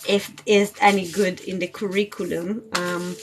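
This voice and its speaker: A woman's voice: on the low side, level tone, measured pace, noisy background. A woman chatting casually on a spiritual livestream as a tarot reader, in an informal, rambling, giggly manner. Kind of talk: casual live spiritual chat. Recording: music playing behind the voice. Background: music.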